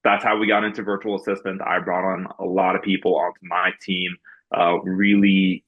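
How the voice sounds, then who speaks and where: Deep-voiced raised man, muffled audio. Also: little pitch movement, moderate pace. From Norway. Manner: many fillers and restarts, earnest storytelling. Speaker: guest. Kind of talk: podcast interview.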